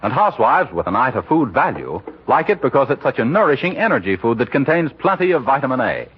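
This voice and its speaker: A man delivering a scripted 1940s radio announcement with a polished, emphatic sales pitch. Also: sing-song, quick pace, breathy edge. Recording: dull old radio broadcast recording.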